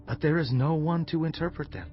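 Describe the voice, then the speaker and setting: An actor playing a respectful Egyptian royal cupbearer in a dramatized scripture reading, recounting things formally and deliberately. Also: male voice, on the high side, highs cut off, faint music behind, natural voice. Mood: troubled, recounting.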